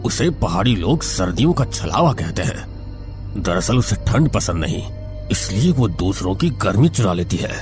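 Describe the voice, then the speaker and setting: A man's voice: low voice, very animated, normal speed, music in the background. A man playing a grave, superstitious old villa caretaker who gives deferential warnings in a horror audio story.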